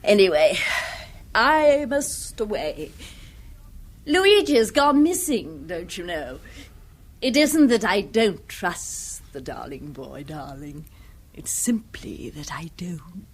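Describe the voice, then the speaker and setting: A woman's voice: fairly low pitch, sing-song, slow pace, a little hiss or hum, full character voice. An actress playing a flamboyant, scornful society woman with a gushing, affected drawl in a 1970s radio drama. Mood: grand, teasing.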